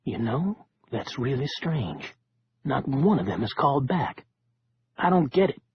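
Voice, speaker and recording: A man voicing a calm, guarded male leader who speaks low and measured. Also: breathy edge, no echo, muffled recording, exaggerated voice.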